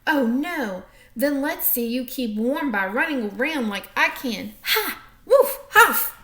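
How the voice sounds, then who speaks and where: A woman's voice: high voice, sing-song, slow pace, fairly bright tone, heavily acted. A woman voicing a boastful male dog who barks and laughs at the tortoise. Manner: barking, huffing laugh. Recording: echoey room.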